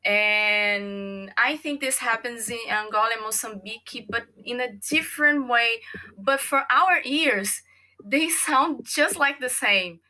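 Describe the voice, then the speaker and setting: A Brazilian woman speaks English with a clear Brazilian Portuguese accent. Her voice sits fairly high and sounds bright and forceful. She is a native Portuguese speaker and former English teacher, and she works her way through each thought, often pausing to find the right word. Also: slight background noise. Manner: thoughtful, searching for words. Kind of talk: casual interview chat.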